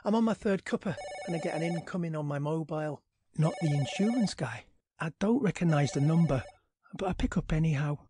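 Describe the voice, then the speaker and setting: A man's voice: on the high side, lively intonation, brisk, warm tone, breathy edge, no room sound, clean studio sound. An actor playing an irritable, put-upon male tenant who tells his story in a sardonic, grumbling, slangy audio drama monologue. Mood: tired, uneasy.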